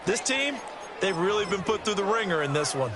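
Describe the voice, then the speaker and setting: A man's voice: sits high, sing-song, brisk, a crowd in the background, background noise. A man giving conversational, opinionated color commentary on a football game. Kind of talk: video game analyst commentary.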